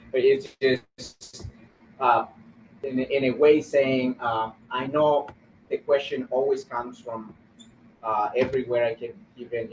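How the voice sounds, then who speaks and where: A man speaking informally on a webinar as a community organizer, halting and searching for words. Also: medium pitch. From Guatemala. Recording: roomy, distant, muffled webinar audio with noise.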